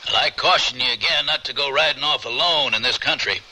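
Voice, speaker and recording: An actor playing a hearty, protective cattle rancher with plainspoken, folksy, emphatic talk in an old radio drama. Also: male voice, medium pitch, a little fast, pushed and bright, poor audio. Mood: cautioning.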